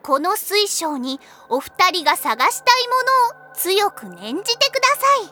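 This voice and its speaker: A woman's voice: very high voice, very animated, moderate pace, sharp tone, heavily acted. A woman voicing a pushy teenage schoolgirl posing as a mystic fortune-teller in a comic anime drama, theatrical and pompous. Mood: grand, inviting. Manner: theatrical, pompous, salesy, easily flustered. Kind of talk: Japanese anime comedy audio drama acting. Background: background music.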